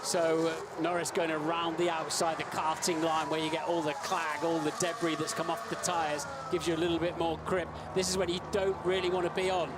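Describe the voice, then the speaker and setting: A man's voice: on the high side, flat delivery, fairly quick, few pauses. A British man co-commentating on a motor race, explaining tactics in a conversational, analytical way. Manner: conversational, explanatory, tag questions. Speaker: expert co-commentator. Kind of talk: analytical live sports commentary. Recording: loud engine and trackside noise behind. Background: race cars and outdoor circuit ambience.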